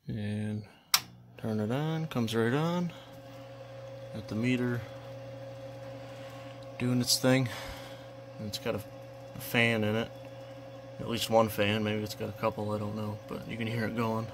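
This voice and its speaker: A man's voice: fairly low pitch, a little slow, pauses often, background noise. A young man casually walking through his radio collection on camera, talking in a hesitant, unsure way with lots of fillers. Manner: unsure, hesitant, many fillers and trailing phrases. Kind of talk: casual show-and-tell walkthrough.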